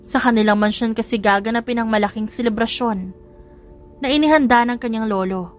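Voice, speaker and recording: A woman's voice: on the high side, brisk. A woman reading a scripted love story as a dramatic audiobook narrator. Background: music.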